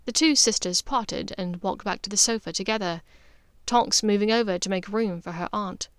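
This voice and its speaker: A woman reading scripted fiction as an audiobook narrator, in an expressive storytelling manner. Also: medium pitch, normal speed, smooth, no echo.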